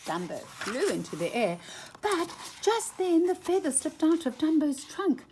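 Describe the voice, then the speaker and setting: A woman reading a children's storybook aloud at bedtime in an expressive, animated way. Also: high-pitched, unhurried, a little hiss or hum.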